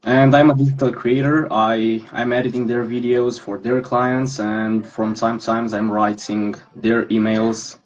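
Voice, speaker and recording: A man's voice: mid-range voice, flat delivery, unhurried, few pauses. A 19-year-old man from Romania, a guest on a podcast who talks earnestly and with care about his work over a video call. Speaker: guest, digital creator. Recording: dull lo-fi call audio.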